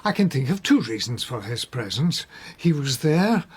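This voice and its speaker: An actor playing a proud, indignant lord in a radio drama, speaking with haughty formality. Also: male voice, medium pitch, sing-song, moderate pace, breathy edge. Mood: stiff, controlled. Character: proud, indignant male lord suspected of murder. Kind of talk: BBC radio drama acting.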